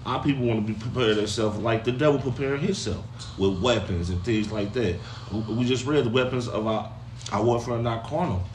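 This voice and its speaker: A man with an American accent and a mid-pitched voice exhorts listeners in an informal religious discussion. His delivery is colloquial, broken up by frequent interjections. A little noise runs behind him. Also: brisk, darkish tone, distant mic. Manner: colloquial, punctuates with "man", "hey" and "all right". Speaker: speaker leading a religious open forum.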